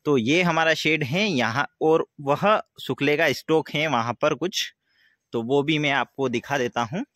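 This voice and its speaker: A young man, a dairy farmer giving a casual, halting walkthrough and often repeating himself as he explains. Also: a little high, a bit bright, dry recording, studio quality. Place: outdoors in an open-sided farm shed. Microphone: phone at arm's length.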